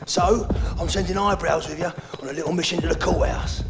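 A commanding, cruel London gangland boss in a crime game cutscene. His voice is raised in pitch and gravelly, full of threat, over clear background music. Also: steady tone, a little fast. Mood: cold menace. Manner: menacing, swearing gang boss.

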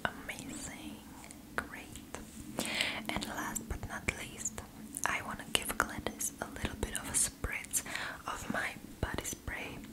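Woman in her twenties, soft breathy whisper, intimate ASMR style, close microphone. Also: takes it slowly, low-quality recording. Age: 20s. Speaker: ASMR video creator playing a roommate. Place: small indoor room.

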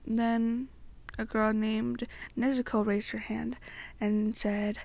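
A young woman narrating an ASMR story to the listener in a halting way, pausing between short phrases. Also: a little high, vocal fry, darkish tone. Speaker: amateur roleplay narrator.

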